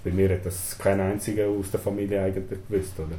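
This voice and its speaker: A man's voice: deep voice, flat delivery, quick pace, warm tone, slight background noise. A young man in his twenties from Switzerland with a Swiss German accent, his first language Serbian, answering interview questions hesitantly with many fillers and tag questions. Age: 20s. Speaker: prison inmate interviewee. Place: small storeroom in a prison. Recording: echoey room with faint ambience.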